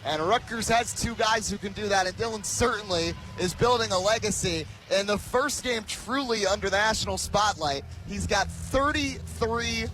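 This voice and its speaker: High-pitched young man calling basketball play-by-play. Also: moderate pace, few pauses, music in the background, rough recording. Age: early 20s. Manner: emphatic, excited delivery rising to shouts. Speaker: radio play-by-play announcer. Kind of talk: live basketball play-by-play commentary. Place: courtside in a large arena.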